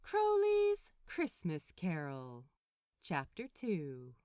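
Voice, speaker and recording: A woman narrating a written story in an expressive audiobook style. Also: on the low side, extremely slow, no room sound, studio quality. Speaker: narrator of a fan fiction audiobook.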